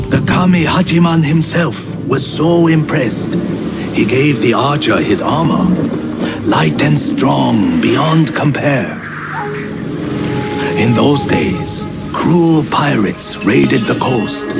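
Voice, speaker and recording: An actor playing a solemn male storyteller who narrates ancient legends in a measured, dramatic manner for a video game. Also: medium pitch, creaky, full character voice. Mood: solemn, reverent. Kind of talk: scripted narration of legendary tales. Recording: music behind the voice.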